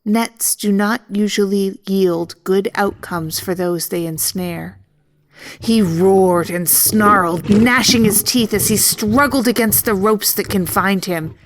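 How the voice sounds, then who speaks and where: A woman narrates a children's story from a script. Her voice sits at a medium pitch, and she takes her time, shaping each phrase with deliberate expression. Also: poor audio.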